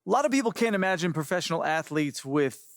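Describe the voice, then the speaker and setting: A man's voice: on the high side, animated, fast, gravelly, dry recording, clean studio sound. A man playing a polite, appreciative, mildly hesitant sports reporter doing an interview in a video game. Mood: polite, curious.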